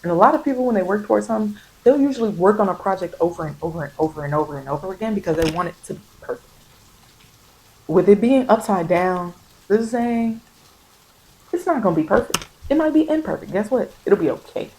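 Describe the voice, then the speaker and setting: A woman's voice: mid-range voice, animated, fast talker, darkish tone, a little hiss or hum, poor audio. A woman giving an informal, encouraging tarot reading in ASMR style, speaking colloquially and repeating phrases for emphasis. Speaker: tarot card reader and host. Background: bird and rain sounds.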